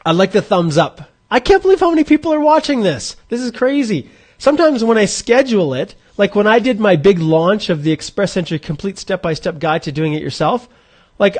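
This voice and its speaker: Chatty man in his forties, higher voice. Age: 40s. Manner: chatty and informal, thinking aloud with restarts. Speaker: immigration advisor hosting a live Q&A. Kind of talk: live-streamed explainer walking through a calculator.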